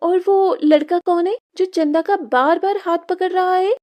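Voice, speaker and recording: A woman's voice: very high voice, steady tone, measured pace, a little mellow. A woman voicing a spoiled, tattling twenty-year-old daughter in a Hindi moral-story cartoon, whiny and insinuating. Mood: suspicious, tattling.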